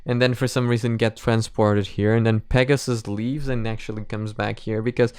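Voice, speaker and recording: A man's voice: fairly low pitch, steady tone, normal speed, darkish tone, clean tone, no room sound, studio quality. A man hosting a reaction video, giving casual commentary and thinking aloud in a hesitant, repetitive way.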